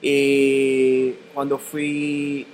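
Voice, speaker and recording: A man's voice: mid-range voice, monotone, drawn-out words, a loud crowd, poor audio. A man practicing a language as a student on a video call, hesitant and searching for words with many fillers. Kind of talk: halting practice conversation.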